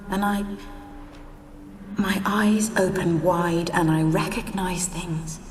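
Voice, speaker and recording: An actress playing the sorrowful, wistful ghost of a dead wife in a fantasy game, with soft, dreamy, halting speech. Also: female voice, medium pitch, slight background noise, natural voice. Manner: slow, dreamy, mournful, halting. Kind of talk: cinematic fantasy game acting. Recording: echoey long reverb, distant, music behind.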